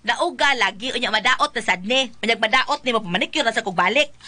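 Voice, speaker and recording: A vain spendthrift wife in a radio drama, speaking with a Cebuano Filipino accent. Her voice is high and sharp, and she rambles in gushing exclamations, boastful and quick to snap. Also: expressive. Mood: snappish, whiny.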